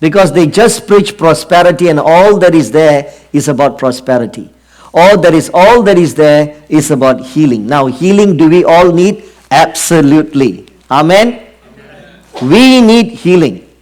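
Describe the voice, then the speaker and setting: A middle-aged man from Singapore with a Singaporean accent, preaching an earnest sermon and often pausing on fillers. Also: a little high, animated, a little mellow, rough recording. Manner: earnest, drawn-out emphasis with frequent fillers.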